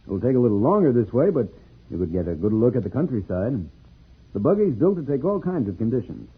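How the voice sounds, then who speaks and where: An actor playing a calm, protective space adventurer in a 1950s radio serial, reassuring as he explains things. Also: medium pitch, fast, slightly rough. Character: Dancer the Planet Man, calm protective adult male space adventurer. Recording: tinny, muffled old radio recording.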